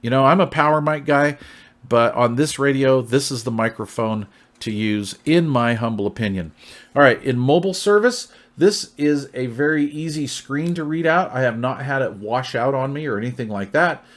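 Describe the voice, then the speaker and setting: A man's voice: medium pitch, normal speed. Middle-aged man, casual plain-spoken reviewer. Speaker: radio hobbyist reviewer.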